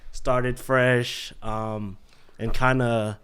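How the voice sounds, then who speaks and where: A man in his twenties from the Philippines, a podcast guest talking in a relaxed, friendly way and often saying man. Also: medium pitch, extremely slow, smooth. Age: 20s. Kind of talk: casual interview.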